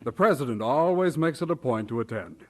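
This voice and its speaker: A man's voice: mid-range voice, expressive, fairly quick, lightly acted. An actor playing a jovial, teasing clergyman in an audio drama, chatty and genial in manner.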